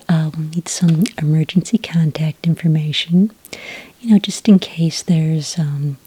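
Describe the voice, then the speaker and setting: A woman doing a soft-spoken ASMR roleplay as a patient enrollment witch, in a breathy, whispery voice with a gentle, polite manner. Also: sits low, no room sound. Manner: gentle, slow, hesitant official patter. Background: computer keyboard typing.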